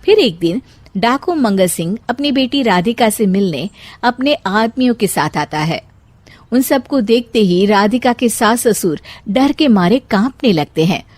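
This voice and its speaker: A woman's voice: mid-range voice, expressive, measured pace, darkish tone, no room sound. A woman narrator reading a scripted story.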